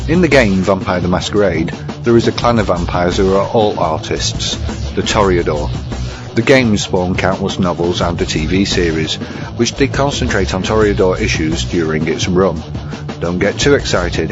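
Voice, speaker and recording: A man's voice: low voice, normal speed. A man presenting a scripted review segment as a narrator. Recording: dull lo-fi recording under music. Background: guitar music.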